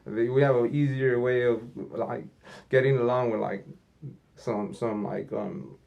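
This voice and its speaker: A man speaking in a casual podcast chat, his voice gravelly and rough at the edges. He rambles with constant fillers and casual cursing. His first language is Spanish. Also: mid-range voice, fairly even intonation, a little slow, dull audio. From United States. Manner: loose and rambling, heavy fillers, swears. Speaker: rapper and podcast guest.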